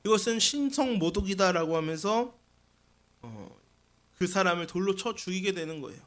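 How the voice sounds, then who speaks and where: A male pastor delivers a Sunday sermon in a church sanctuary, his voice sitting high. He talks earnestly and directly to his listeners, often turning to rhetorical questions. The tone stays bright and forward throughout. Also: lively intonation, moderate pace. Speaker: senior pastor.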